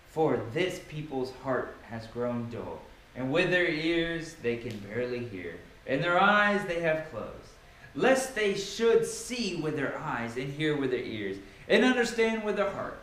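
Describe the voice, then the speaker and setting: In a heavily reverberant room with a faint noise floor, a man reads scripture aloud. His fairly high voice swings widely in pitch, and he delivers the verses theatrically, with emphatic pauses. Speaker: Bible reader. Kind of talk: dramatic scripture reading with informal asides.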